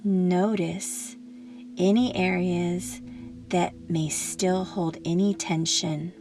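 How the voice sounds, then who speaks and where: Slow, soothing woman guiding meditation. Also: fairly low pitch, steady tone, soft background music. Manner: gentle, soothing, unhurried instruction with long pauses. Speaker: meditation guide. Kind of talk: guided meditation narration.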